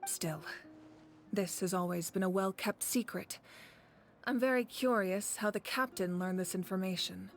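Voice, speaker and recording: An actress playing a confident, caring woman who leads warriors in a video game, speaking in a composed, resolute and measured way. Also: medium pitch, natural voice. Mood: grave, resolute. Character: confident, caring warrior leader woman, former fire deity. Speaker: game character. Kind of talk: scripted video game voice acting. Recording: game audio with background music.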